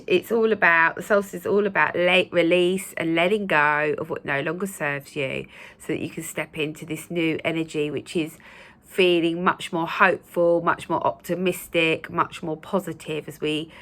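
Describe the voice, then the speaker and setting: A woman hosting her own channel, speaking in a casual, gentle, reassuring way with a croaky voice from a recent cold and many fillers. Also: deep voice, steady tone, unhurried. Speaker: spiritual channel host. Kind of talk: casual spoken talk to viewers.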